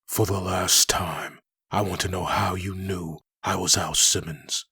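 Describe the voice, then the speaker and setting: Man voicing a very husky, deep hellspawn antihero. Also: steady tone, unhurried, pushed and bright, no room sound, full character voice. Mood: demanding. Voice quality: husky, gravelly low voice. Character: confused, gruff undead hellspawn antihero, a former man. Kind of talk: comic dub acting.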